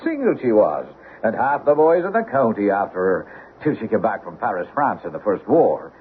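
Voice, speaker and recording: A man's voice: lowish voice, big pitch swings, moderate pace. An actor playing a gossipy Irish village man, wry and folksy, with a lilting Irish accent in an old-time radio drama. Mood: gossipy, knowing. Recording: muffled, noisy old radio recording.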